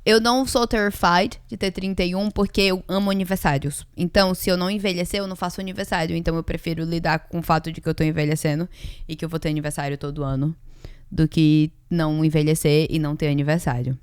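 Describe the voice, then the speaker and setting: A Brazilian woman of about thirty chatting casually as a podcast co-host, informal and quick to joke about herself. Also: low voice, steady tone, clear, resonant tone, no room sound, clean studio sound. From Ceará, Brazil.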